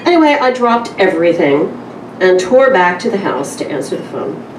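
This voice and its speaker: A lower-pitched woman addresses a live audience in the informal way of a small-press literary presenter. She is recorded from a distance in a roomy space, with clear noise on the recording. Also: very animated, normal speed. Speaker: publisher and editor presenting a reading. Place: live event room, away from the microphone.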